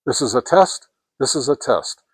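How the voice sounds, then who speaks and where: A man with a middle-pitched voice gives an informal product review. His delivery is halting and hesitant, feeling its way through each point. The sound is dry, with very little room around it. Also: expressive, slightly rough, dry recording. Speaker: amateur radio product reviewer and host.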